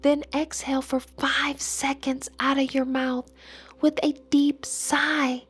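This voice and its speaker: A woman leading a guided meditation and affirmations in a soft, breathy, soothing voice with measured, deliberate phrasing. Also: sits high, flat delivery. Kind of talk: slow guided meditation narration with spoken affirmations. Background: background music.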